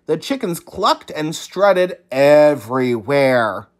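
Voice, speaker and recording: A man reading a children's story aloud in an expressive, emphatic teacher's manner. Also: on the high side, takes it slowly.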